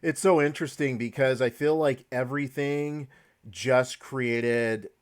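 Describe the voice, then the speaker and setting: A man's voice: medium pitch, fairly even intonation, unhurried. A man hosting a casual podcast chat, leading the talk with hedges and restarts. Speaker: podcast host.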